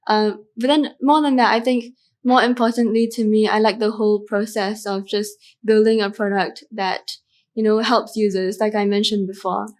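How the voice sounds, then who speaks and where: A young woman student from Malaysia, a guest on an interview show, who talks through her answers reflectively and leans on little fillers. Her voice sits at an ordinary middle pitch, and her pace is even. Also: normal speed. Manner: thoughtful, with frequent "um" and "like" fillers.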